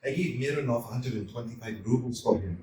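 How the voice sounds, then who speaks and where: A man's voice: mid-range voice, a little fast, warm tone, lightly acted. A man voicing an anxious, quarrelsome young landowner who keeps complaining about his heart, in a staged play reading recorded in an echoey hall from a distance. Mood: fretful. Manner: fretful, complaining, flustered. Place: small theatre stage.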